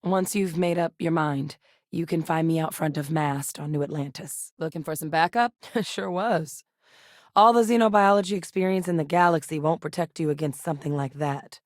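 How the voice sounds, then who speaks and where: An actress voicing a composed adult woman, a scientist and soldier in a game, speaking in a measured, earnest, matter-of-fact way. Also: low voice, no room sound, studio quality, natural voice. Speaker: video game character. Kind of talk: game voice lines. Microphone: close studio mic.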